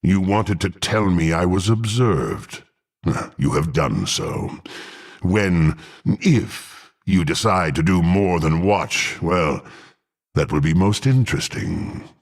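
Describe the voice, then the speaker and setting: An actor playing a brutish, proud half-orc warrior in a video game, gruff and scornful, speaking with haughty deliberation. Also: male voice, low-pitched, animated, takes it slowly, exaggerated voice. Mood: cold contempt. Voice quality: gruff, gravelly.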